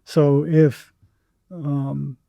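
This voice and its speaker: A man's voice: on the high side, level tone, extremely slow, gravelly, no echo, lo-fi sound. An older American man telling anecdotes casually as an interview guest, acting out bits of dialogue as he goes.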